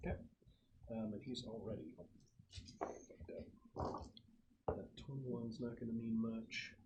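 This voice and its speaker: A man's voice: medium pitch, fairly even intonation, slow, muffled recording, background noise. A man acting as game master, casually narrating tabletop combat in vivid, slangy language.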